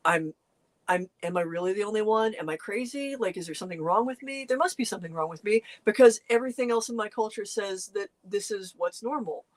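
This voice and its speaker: A middle-aged co-host chatting casually, telling stories earnestly with lively jumps in pitch and frequent restarts. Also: brisk. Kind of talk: casual conversational chat.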